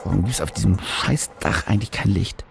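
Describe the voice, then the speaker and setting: Brooding, low, creaky-voiced trapped reporter. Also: male voice, brisk, noisy background. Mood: bitter, bewildered. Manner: muttering, brooding, talking to himself. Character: cynical, desperate, self-loathing German war reporter, trapped. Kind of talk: radio drama acting, interior monologue.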